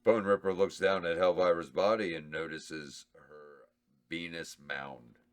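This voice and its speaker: A man's voice: sits low, fairly even intonation, unhurried. A man reading a comedy horror script's stage directions aloud in a matter-of-fact tone at a table read.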